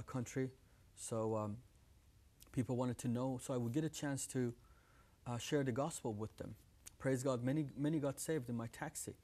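A man's voice: mid-range voice, warm tone. Man, 30s, iranian accent, earnest testimony, lapel mic, studio. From Iran. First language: Farsi. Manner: earnest, gestures with hands while talking. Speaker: guest. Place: TV studio set with living room decor.

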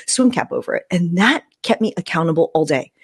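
A woman's voice: on the low side, expressive, a little fast, slightly rough, no room sound. A woman, telling personal stories casually, like a podcast host on a close microphone. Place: small room indoors, video call. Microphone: close podcast microphone with fluffy windscreen.

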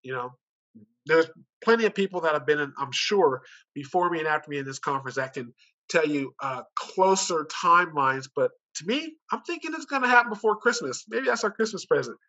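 A man with a fairly high, very bright and forceful voice gives a sales pitch at an online conference. He talks conversationally and persuasively, throwing out rhetorical questions. The sound is a slightly dull video call. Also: expressive, a little fast. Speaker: company director giving a sales pitch. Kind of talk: informal online conference presentation.

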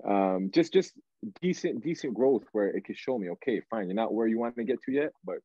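A young man from Toronto, Canada, chatting casually as an interview guest, halting and repeating words as he thinks, over a video call. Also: fairly low pitch, animated, warm tone, slightly rough, no room sound. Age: young adult. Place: indoor room at home, video call. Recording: narrow, phone-like video call audio.

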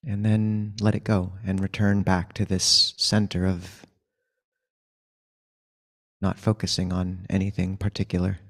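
Low, even-toned man guiding meditation. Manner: unhurried, gentle instruction with long pauses. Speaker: meditation teacher. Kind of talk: guided meditation instruction.

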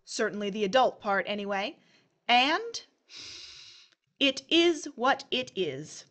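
A glib afterlife lawyer acted by a woman in an audio drama, speaking slowly in a fairly high voice. She is flippant, dry and sardonic. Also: big pitch swings, a bit bright, full character voice. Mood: mock formal.

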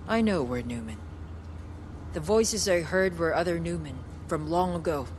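A woman's voice: fairly low pitch, animated, moderate pace, a little mellow, a little hiss or hum, close to an everyday voice. An actress playing a blunt, spiritual, resolute woman survivor in a video game cutscene, with a matter-of-fact delivery. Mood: solemn, resolute.

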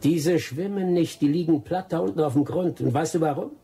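An actor playing a wily, vengeful expatriate adventurer in a German radio drama, with a sardonic, persuasive way of talking. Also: male voice, fairly high pitch, brisk, mellow, slightly rough. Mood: sly, persuasive.

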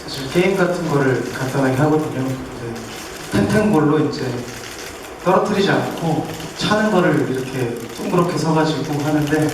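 A man's voice: a little high, expressive, normal speed. A young man, a stage actor, telling an anecdote at a press call. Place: theatre stage, recorded from the audience. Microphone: handheld mic through PA, distant camera. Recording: very echoey, distant, noisy.

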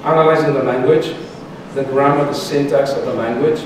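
A middle-aged man, a lecturer taking audience questions in measured speech with pauses and restarts. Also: mid-range voice, steady tone. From Solomon Islands. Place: large lecture theatre. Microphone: distant room mic. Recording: echoey hall from far off, background noise.

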